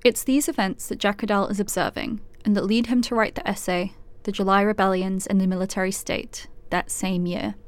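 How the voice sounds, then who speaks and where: A woman hosting with scripted documentary narration, composed and clearly phrased. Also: mid-range voice, fairly even intonation, a little fast, few pauses. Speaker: host, narrator.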